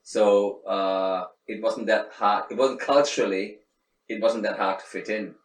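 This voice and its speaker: Low-voiced Sri Lankan-born man, hesitant. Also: steady tone, measured pace. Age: middle-aged. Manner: hesitant, many restarts and fillers. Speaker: interviewee. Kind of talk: reflective casual conversation. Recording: echoey room, faint background noise, mic at a distance.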